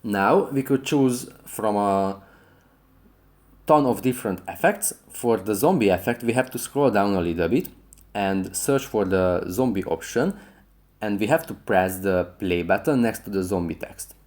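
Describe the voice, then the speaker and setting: A man's voice: fairly low pitch, animated, measured pace, darkish tone. A man hosting an app tutorial, narrating each step in an instructional, scripted way. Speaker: tutorial host.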